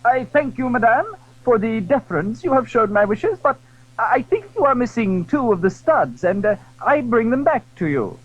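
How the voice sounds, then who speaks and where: A man's voice: very high voice, big pitch swings, normal speed, highs cut off, voice pushed up, heavily acted. A man voicing a pompous king, courtly and suspicious, in an audio drama. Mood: courteous, pointed. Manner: formal, courtly.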